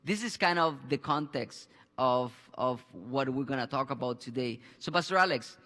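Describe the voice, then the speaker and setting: Pastor hosting a Bible talk, hall reverb. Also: male voice, mid-range voice, lively intonation, sharp tone. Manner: halting, many restarts and fillers. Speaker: pastor and host. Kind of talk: conversational Bible discussion. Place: church studio with a screen behind. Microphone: headset mic.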